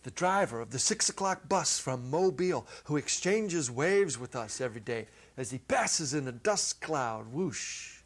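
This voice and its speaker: A middle-aged man reads a story dramatically. His pitch is medium, his pace is slow and deliberate, and he stretches words for theatrical effect. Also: animated. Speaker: story reader. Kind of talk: dramatic literary reading. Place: indoor set with holiday decor. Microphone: clip-on lapel mic.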